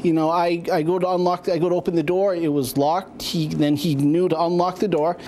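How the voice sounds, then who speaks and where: A man interviewed as a police officer recalls an event in a hesitant, halting way, full of fillers and restarts. His voice is fairly high for a man, with faint background noise behind him. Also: level tone, darkish tone. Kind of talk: interview soundbite.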